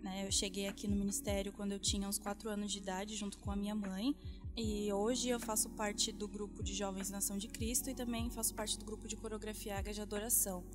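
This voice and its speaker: A young woman in her twenties introduces herself in a flat, even tone. Her manner is plain and matter-of-fact, and instrumental music is clearly audible behind her. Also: mid-range voice, fairly quick. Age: 20s. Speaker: guest. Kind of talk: self-introduction.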